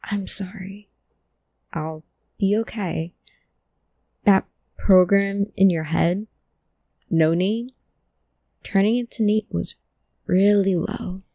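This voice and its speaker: Woman playing a slow, mellow, wounded loyal friend. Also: mid-range voice, creaky, no room sound, studio quality. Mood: grieving. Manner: halting, emotional, emphatic. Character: guarded, grieving woman loyal to her missing childhood friend. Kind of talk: cyberpunk audio drama acting.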